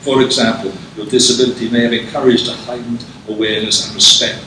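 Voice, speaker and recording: A man giving a conference presentation and taking questions, measured and prone to restarts, recorded from a distance in a conference hall. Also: mid-range voice, level tone, background noise. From England. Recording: echoey hall, distant mic.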